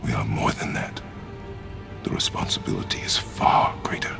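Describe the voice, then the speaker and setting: An actor playing a grave, burdened warrior father, slow and solemn, with a gravelly, breathy voice. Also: whispered, lightly acted. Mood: grave, pained. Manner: slow, weighty delivery. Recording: music behind the voice.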